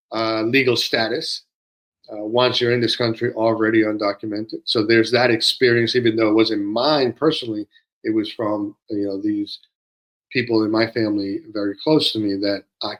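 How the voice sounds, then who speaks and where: A man in his sixties who grew up in Peru, co-hosting a conversation and talking in a measured, reflective way with frequent pauses and fillers. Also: mid-range voice, fairly bright tone, poor audio. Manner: measured, pausing often with fillers. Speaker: podcast co-host.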